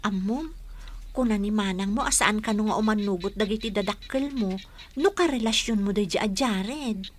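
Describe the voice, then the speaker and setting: A woman acting an inquisitive, sympathetic young friend in a radio drama, conversational and expressive. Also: medium pitch, normal speed, slight background noise, natural voice. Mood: sympathetic, probing.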